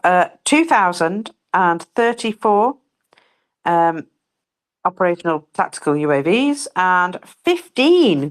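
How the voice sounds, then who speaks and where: A woman with a very low voice reads out numbers in a casual live commentary. She talks bluntly and swears freely, with chatty asides along the way. Her voice is going hoarse as she loses it. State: losing her voice. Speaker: co-host reading daily war figures.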